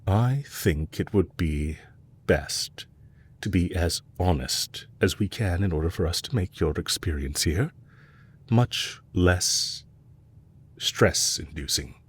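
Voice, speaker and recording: A man playing a composed, courteous villain leader in an audio roleplay, speaking in a measured, formal way to a captive he is fond of. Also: deep voice, expressive, breathy edge, exaggerated voice. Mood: gentle, coaxing.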